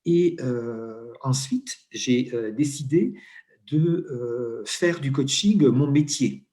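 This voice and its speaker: A middle-aged man with an Italian accent, speaking French as an interview guest and pausing often with fillers and restarts. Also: mid-range voice, dark tone. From Italy. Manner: hesitant, many fillers and restarts. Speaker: interview guest, coach and HR consultant. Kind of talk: conversational interview.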